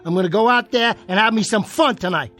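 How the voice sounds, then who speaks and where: An actor playing a bitter, boastful small jockey in a radio drama, with an American accent and slangy, defiant streetwise talk over music. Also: male voice, high-pitched, quick pace, a bit bright. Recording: music behind the voice.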